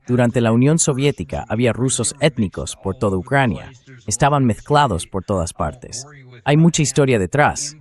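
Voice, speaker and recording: A man's synthetic voice-over reading translated political commentary in a measured, explanatory way, as an interview guest. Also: on the low side, darkish tone.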